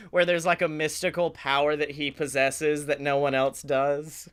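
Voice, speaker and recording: A man co-hosting a comedy podcast, chatting casually and brainstorming in an excitable, sweary way. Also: a little high, a little slow, clear, resonant tone. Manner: excitable, profane.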